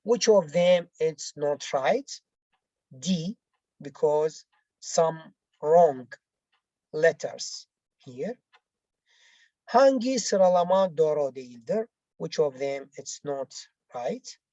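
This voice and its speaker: A woman language teacher who is not a native English speaker, explaining exercises step by step in a halting, repetitive way. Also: low voice, animated, warm tone, no echo, highs cut off. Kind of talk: bilingual lesson, reading out exercises and explaining answers.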